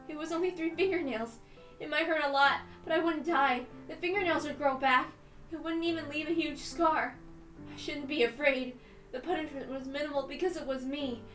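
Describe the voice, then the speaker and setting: High-voiced woman reading a tense story. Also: fairly bright tone. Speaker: reader on a stream. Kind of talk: dramatic first-person narration of a visual novel. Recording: roomy, distant mic, music behind.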